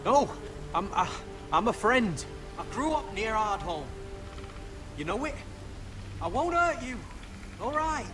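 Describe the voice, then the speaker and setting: An actor playing a scrappy young wildling scout in a dramatic fantasy video game. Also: male voice, high voice, sing-song, takes it slowly, long pauses, over music, full character voice. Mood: pleading. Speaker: game character.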